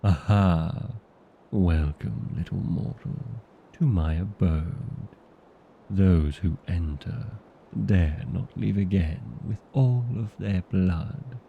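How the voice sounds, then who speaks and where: Guy doing a deep gravelly old vampire, vain n chatty, hammy but polite, comedy roleplay audio, kinda muffled recording. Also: slow pace, a little hiss or hum, full character voice. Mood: mock menacing. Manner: theatrical, self-aware, chatty and courteous.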